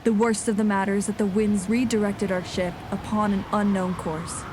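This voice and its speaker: A woman playing a grave nineteenth-century ship's captain, reading out a log in a formal, measured way for an audio drama. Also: medium pitch, flat delivery, background noise, lightly acted. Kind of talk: scripted audio drama narration. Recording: music under the voice.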